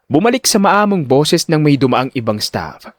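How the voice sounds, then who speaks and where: A man's voice: on the high side, big pitch swings, moderate pace, no room sound, studio quality. A man giving dramatic scripted narration of a story.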